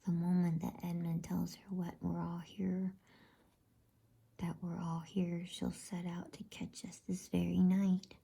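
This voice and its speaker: A woman voicing a shrewd, practical female beaver from a bedtime storybook in a breathy whisper. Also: lowish voice, monotone, a little slow, dark tone, full character voice.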